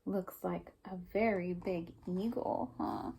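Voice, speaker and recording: A woman's voice: lowish voice, steady tone, takes it slowly, creaky, dark tone, slightly rough, rough recording. A woman teacher reading a picture book aloud to young children, explaining simply and prompting them with questions.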